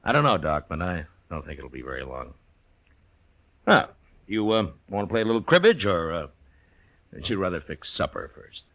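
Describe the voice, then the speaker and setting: An American actor playing a steady, laconic lawman with dry humor in an old-time radio western. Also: sits low, moderate pace, long pauses, exaggerated voice. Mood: dryly teasing. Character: steady, dryly humorous lawman in his prime, Old West marshal.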